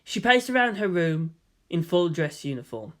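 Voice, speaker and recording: Animated man narrating a story. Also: fairly high pitch, normal speed. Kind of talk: dramatic scripted narration of fan fiction.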